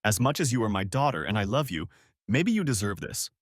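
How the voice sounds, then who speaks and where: A man's voice: fairly low pitch, very animated, a little fast, no room sound, studio quality. A man reading a story aloud, voicing a stern middle-aged mother who scolds her grown daughter bluntly. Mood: scolding.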